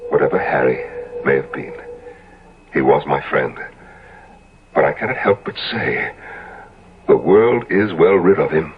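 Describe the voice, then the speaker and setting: An actor in a 1970s radio drama playing an earnest, morally horrified gentleman painter, theatrical and emphatic. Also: rumbling low, animated, a little slow, pauses often. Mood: grave, firm. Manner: theatrical, emphatic, broken phrases. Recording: muffled old radio recording, loud music.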